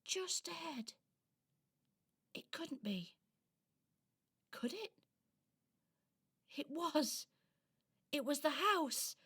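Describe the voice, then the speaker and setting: A woman narrating a scripted children's radio play expressively, with dramatic pauses. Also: high voice, animated, a bit bright.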